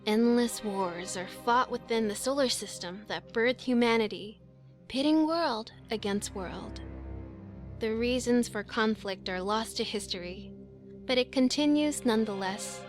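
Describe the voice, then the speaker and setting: A woman playing a solemn narrator of a far-future war in a video game, speaking in a measured way. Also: fairly high pitch, faint music behind, natural voice. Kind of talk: scripted dramatic narration.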